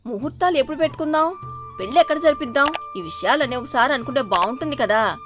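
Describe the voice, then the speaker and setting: A woman acts out a middle-aged sister in a moral tale cartoon. She is pushy and quick to take offence, speaking fast in a high voice over soft music. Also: lively intonation. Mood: eager, insistent. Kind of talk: moral story cartoon dialogue.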